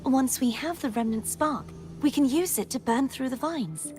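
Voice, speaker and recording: Gentle, high-voiced young game heroine. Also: female voice, lively intonation, moderate pace. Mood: calm, resolved. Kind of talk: video game voice acting. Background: game music.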